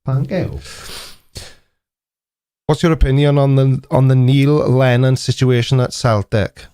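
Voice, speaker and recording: A man's voice: medium pitch, fairly even intonation, measured pace, dark tone, clean tone, no room sound. A man in his forties with a Welsh accent, hosting a casual live stream chat in a dry, teasing, mock-indignant manner. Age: 40s.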